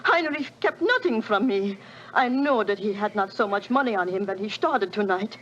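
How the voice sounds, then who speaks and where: An actress playing an agitated, distraught widow in a 1940s radio drama, with muffled sound and faint hiss. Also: female voice, medium pitch, lively intonation, normal speed, close to an everyday voice. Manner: agitated, broken. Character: distraught foreign widow, woman.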